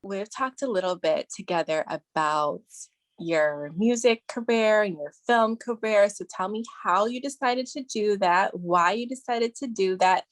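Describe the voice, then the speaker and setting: A woman's voice: medium pitch, measured pace, slight background noise. A woman interviewer asking friendly, rambling questions of her guest. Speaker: interviewer, host. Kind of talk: casual interview.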